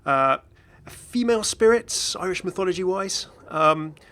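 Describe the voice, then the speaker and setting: Bright, chatty man commentating on games. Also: high-pitched, animated, moderate pace. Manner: chatty, rambling, enthusiastic asides. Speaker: gaming video host. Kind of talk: casual off-the-cuff game commentary.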